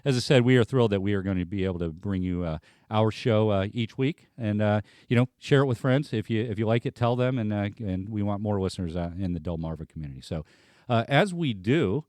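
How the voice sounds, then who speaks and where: A man's voice: fairly low pitch, expressive, a little fast, a little mellow, dry recording, clean studio sound. A man hosting a casual talk show, chatting loosely with lots of fillers and restarts. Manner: rambling, many fillers and restarts. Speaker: host. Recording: music bed behind. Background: faint band music.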